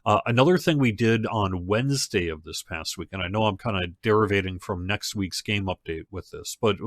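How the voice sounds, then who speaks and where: A man's voice: lowish voice, fairly bright tone, dry recording, clean studio sound. A man hosting a game livestream as community manager, talking casually through news updates with fillers and restarts, a little stuffy from a cold. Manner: conversational, with frequent fillers and restarts.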